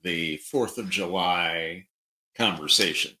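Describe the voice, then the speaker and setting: Man, middle-aged, low pitch, slow delivery, halting and reflective, casual podcast co-host. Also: pushed and bright. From Dallas, Texas, United States. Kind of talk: casual conversation.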